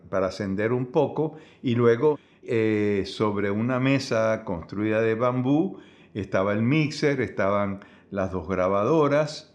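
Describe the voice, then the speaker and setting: A man recollecting in an unscripted interview, halting as he searches for words. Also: fairly low pitch. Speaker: interviewee, former guerrilla radio member.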